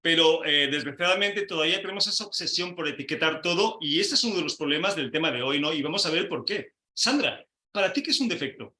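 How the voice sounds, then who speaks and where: A man's voice: fairly high pitch, expressive, fast, hardly any pauses, bright, forceful tone, roomy sound. A man hosting a casual panel discussion, putting questions to each guest and backing them with short "ujú" sounds. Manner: leads with questions, frequent "ujú" backchannels. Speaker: host.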